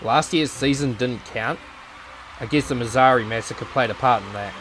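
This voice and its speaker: A cocky young male fighter from a visual novel, acted by a man. He has a medium-pitched voice and a twisted streak. Music plays faintly behind him. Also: moderate pace, fairly bright tone, natural voice, poor audio. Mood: calm, reflective. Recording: voice over background music.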